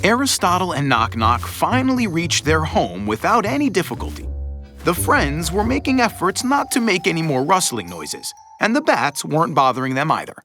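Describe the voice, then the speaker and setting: A man narrating a children's story in an animated, scripted storybook manner. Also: on the high side, normal speed. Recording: music behind the voice.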